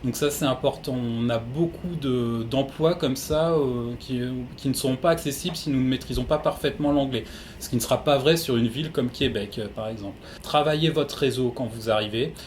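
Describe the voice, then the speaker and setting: A man's voice: mid-range voice, brisk, a little mellow, slight background noise. A man working in human resources, giving methodical, practical advice to newcomers in an interview.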